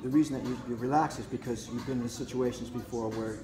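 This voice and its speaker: A man hosting a casual interview, putting questions haltingly and rephrasing them as he goes. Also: medium pitch, steady tone, crowd noise. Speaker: interviewer and host. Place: martial arts gym with mats, indoors. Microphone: camera a few feet away. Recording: echoey room.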